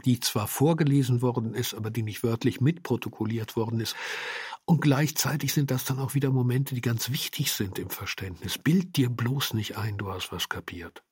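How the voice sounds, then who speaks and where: A man with a husky voice speaks in a dry, close recording. He reflects on his radio drama work in an interview, setting out deliberate, emphatic fragments at a middle pitch. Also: darkish tone, no room sound. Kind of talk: reflective interview statement about own work.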